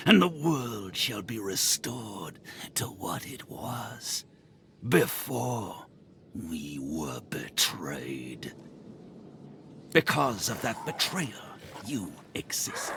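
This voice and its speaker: Ancient vengeful king, very slow, low-pitched, grave, menacing, whispery hisses, fantasy game, music behind. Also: creaky, fairly bright tone, heavily acted. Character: ancient betrayed Eternal king, vengeful otherworldly male being. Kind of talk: dramatic fantasy game acting.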